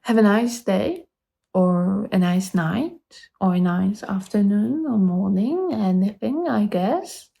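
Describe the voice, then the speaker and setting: A woman's voice: medium pitch, expressive, vocal fry, warm tone, echoey room. A woman in her twenties with a Polish accent, chatting casually to the camera and wandering from thought to thought with frequent restarts. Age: 20s. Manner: rambling, halting, many restarts. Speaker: vlogger.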